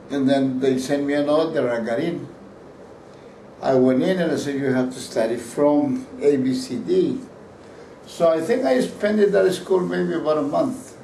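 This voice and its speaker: A man's voice: mid-range voice, vocal fry, dark tone. An older man from Peru, a painter whose first language is Spanish, answering interview questions at length with restarts and repeated words. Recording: roomy, distant mic, faint background hiss.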